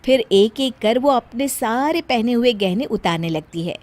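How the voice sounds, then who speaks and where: High-voiced woman narrating a cartoon story. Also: expressive, unhurried, a little mellow.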